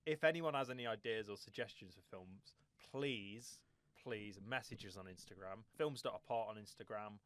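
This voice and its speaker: A man's voice: lowish voice, normal speed, few pauses, clear, resonant tone, no room sound. A young man, co-hosting a casual film podcast in a rambling, easygoing way. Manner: relaxed, rambling, laughs often, swears casually. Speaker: podcast co-host.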